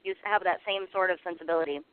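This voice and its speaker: High, smooth-voiced man co-host. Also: fairly even intonation, fast talker, dry recording. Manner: brief, friendly questions. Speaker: radio co-host. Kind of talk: casual radio interview. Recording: phone-like radio recording.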